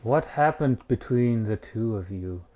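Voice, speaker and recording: A man streaming a game, reading dialogue aloud with casual asides in a low-key, halting way. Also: animated, faint music behind. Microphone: home streaming microphone. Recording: dull, lo-fi streamer microphone.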